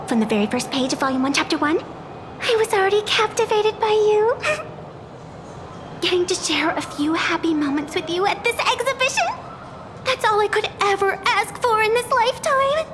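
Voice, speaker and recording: A woman's voice: very high-pitched, background noise. A woman voicing a sweet-talking young fox fangirl in a video game, with a gushing, theatrical manner. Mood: adoring, sweet.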